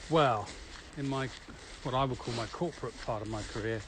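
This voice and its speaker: A man's voice: mid-range voice, a little fast. A man co-hosting a podcast, chatting casually and telling anecdotes while out on a walk. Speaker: podcast co-host and trainer. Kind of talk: casual walking podcast chat. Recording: noisy walking recording with ambient sound.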